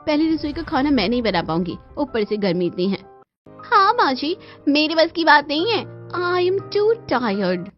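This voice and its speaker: Spoiled, mocking modern bride for a cartoon, pitched high female performer, dull lo-fi sound. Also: very animated, measured pace, soft background music. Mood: whiny, haughty. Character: haughty modern young daughter-in-law, spoiled and mocking.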